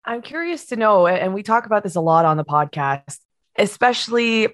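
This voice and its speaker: A woman hosting a podcast interview, asking measured questions in an upbeat, encouraging way. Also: mid-range voice, animated. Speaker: podcast host.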